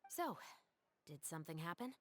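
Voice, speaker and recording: A woman's voice: low-pitched, big pitch swings, very noisy. A woman voicing a composed, dry-humored female patrol captain in a video game. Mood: wry. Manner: dry, wry, measured. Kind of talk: game voice acting.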